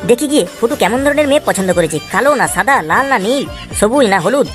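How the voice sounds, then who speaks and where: A woman's voice: a little high, expressive, quick pace, lightly acted. A woman voicing an exasperated, foul-mouthed, sly father in a comic cartoon, grumbling and wheedling. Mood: wry. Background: music.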